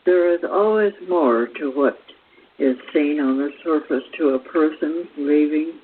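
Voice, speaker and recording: Slow-talking man on a tinny, hissy line. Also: a little high. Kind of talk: spiritual lecture. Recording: hissy, tinny phone-like recording.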